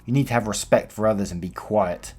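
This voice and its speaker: A pushy, passive-aggressive mother who makes curt, demanding remarks, as a man reads her lines. Her voice sits fairly low, with soft music faintly behind it. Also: fairly quick, slightly rough.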